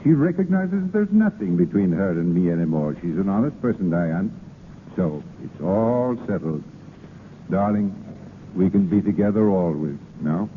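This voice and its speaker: A man's voice: sits low, lively intonation, moderate pace. An actor playing an eager, devoted man of means in an old radio drama, fond and reassuring. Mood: excited, reassuring. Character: eager, devoted wealthy man adoring his sweetheart. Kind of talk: 1930s-40s radio drama acting. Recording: tinny noisy vintage radio recording.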